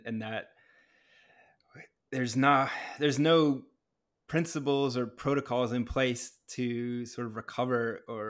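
Flat-toned man host, halting speech. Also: mid-range voice. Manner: soft-spoken, halting with repeated words. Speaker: podcast host. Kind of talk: conversational interview.

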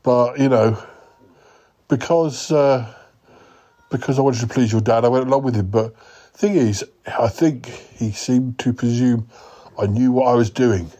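A man voicing a clueless male yeti uncle who talks slowly and hesitantly. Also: fairly even intonation, warm tone, close to an everyday voice. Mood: bemused. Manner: slow, hesitant, wandering speech with a higher voice.

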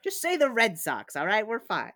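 Woman co-host, playful and jokey, lively swooping pitch, dry close mic. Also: moderate pace, no room sound. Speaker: podcast co-host and illustrator. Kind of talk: casual chat.

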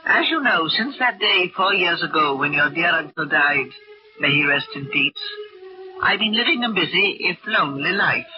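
An actress playing a genteel, lonely elderly widow in a radio drama, narrating in prim, precise, old-fashioned speech. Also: female voice, on the low side, animated, normal speed, close to an everyday voice. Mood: prim, reflective. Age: older. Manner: prim, proper, precise diction. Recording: muffled old radio recording with music behind.

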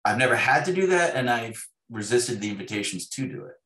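A man in his fifties speaking as an author being interviewed, in a thoughtful, halting way with repeated words. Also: medium pitch, animated, a bit bright. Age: 50s. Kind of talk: reflective conversational interview. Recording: echoey, roomy sound.

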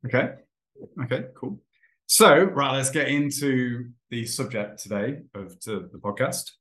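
A man in his thirties hosts a relaxed podcast for English learners. He sounds friendly, stops to explain words, and his speech is full of ums and restarts. His voice sits at an ordinary pitch for a man. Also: measured pace, low-quality recording. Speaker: podcast host and English teacher. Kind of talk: casual interview for English learners.

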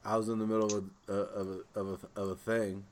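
A man's voice: lowish voice, flat delivery, quick pace. A man co-hosting a podcast in casual chat, talking in a rambling, loosely phrased way. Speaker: podcast co-host.

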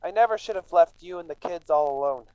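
A man's voice: sits high, fast, darkish tone, clean tone, no echo, lo-fi sound, lightly acted. A man acting in a radio drama as a confused, remorseful, injured immigrant farmhand who falters and stammers. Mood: delirious, wistful. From England.

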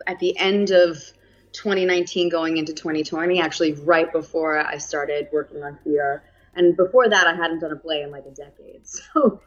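A woman in her thirties with a heavy New York accent, chatting as an interview guest, often laughing and using fillers. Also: lowish voice. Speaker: actress guest. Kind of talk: casual interview chat. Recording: muffled lo-fi audio.

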